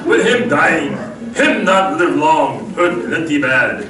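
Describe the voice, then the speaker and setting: A woman's voice: low-pitched, very animated, takes it slowly, heavy background noise, exaggerated voice. A woman playing a loyal, grave male sidekick in an old-time radio Western, in clipped, stilted broken phrases. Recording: roomy distant stage pickup with music.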